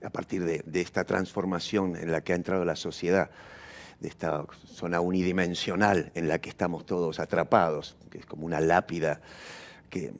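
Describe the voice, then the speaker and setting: Brief: Argentine man, deep voice, reflective interview guest who builds long, abstract thoughts deliberately. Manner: deliberate, builds long philosophical phrases with repeats. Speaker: theatre practitioner interviewed as guest.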